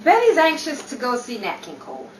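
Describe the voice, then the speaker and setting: Actress as sassy, wildly swooping 1950s wife. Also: female voice, sits high, huge pitch swings, a little fast. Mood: chiding. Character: sassy, sharp-tongued, vengeful 1950s wife Rachel. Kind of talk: old-time radio comedy acting. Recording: roomy, distant, noisy recording.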